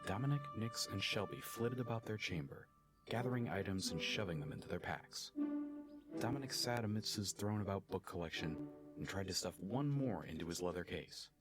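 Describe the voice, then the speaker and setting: A male narrator with a fairly low voice tells an audio drama story. His pitch moves in lively sweeps, and loud music plays underneath. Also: fairly quick.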